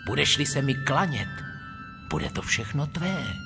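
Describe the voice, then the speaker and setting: An actor playing a menacing, tempting demonic spirit in a dramatized Bible recording, with a theatrical, insinuating manner. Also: male voice, mid-range voice, big pitch swings, gravelly. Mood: seductive, taunting. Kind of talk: dramatized Bible audio acting. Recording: music under the voice.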